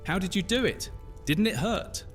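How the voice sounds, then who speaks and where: A man acts as a chatty young half-witch in a fantasy audio drama. The voice is lightly high and very lively, full of curiosity. Quiet music runs underneath. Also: normal speed. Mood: curious. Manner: inquisitive, informal, animated.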